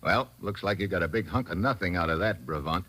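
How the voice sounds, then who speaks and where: An actor playing a tough, sardonic American agent, narrating and talking in hard-boiled old-time radio drama style. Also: male voice, low-pitched, fast talker, a bit bright, slight background noise. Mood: businesslike. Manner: sardonic, slangy.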